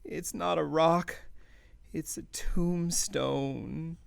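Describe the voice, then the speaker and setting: Man acting a slow, gravelly, horror-struck proprietor. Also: on the high side, steady tone, heavily acted. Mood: horrified. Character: indignant proprietor of a lodging house, horror-struck. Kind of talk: radio play acting.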